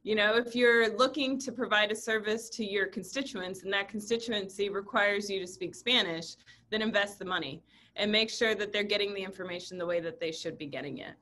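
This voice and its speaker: Earnest panelist woman, bright voice, speaking over a video call. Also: mid-range voice, a little fast. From Puerto Rico.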